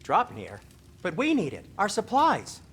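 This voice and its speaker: A man playing a nervous, fussy dwarf craftsman in a video game, fretful and excitable. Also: sits high, unhurried, husky, full character voice. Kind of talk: cinematic video game acting.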